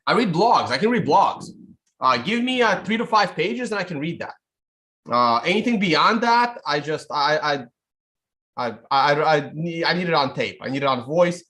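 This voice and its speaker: A man in his thirties, a native Russian speaker, hosts a loose livestream from a home room over a webcam call. His voice sits a touch high for a man. He wanders between thoughts, restarting sentences and filling gaps with uhs and ums. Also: expressive, moderate pace, pushed and bright. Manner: rambling, off-the-cuff, many fillers and restarts. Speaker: trading show host. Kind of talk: casual live-stream chat. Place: home studio room, indoors. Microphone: webcam video call.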